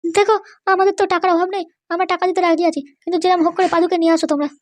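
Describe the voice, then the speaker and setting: A woman's voice: very high voice, flat delivery, racing. A woman voicing an anxious older parent in an amateur cartoon, pleading and insistent. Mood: urgent, insistent.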